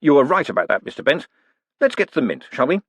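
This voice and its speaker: A man doing a glib quick-witted swindler. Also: fairly high pitch, sing-song, fairly bright tone, husky, dry recording, pitched above the performer's own voice, exaggerated voice. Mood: brisk. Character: quick-witted young male swindler turned official.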